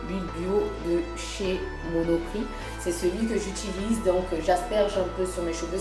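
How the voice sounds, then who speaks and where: A woman from Congo talks through her beauty routine in a chatty, halting way, with many fillers and restarts. Her voice sits fairly low, and the room is echoey with music playing clearly behind her. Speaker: beauty vlogger. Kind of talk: casual beauty vlog talk. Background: background music.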